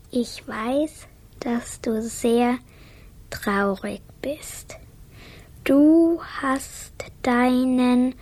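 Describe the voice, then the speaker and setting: Slow, high-voiced young girl. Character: sad young girl guarding her private letter. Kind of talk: radio play acting.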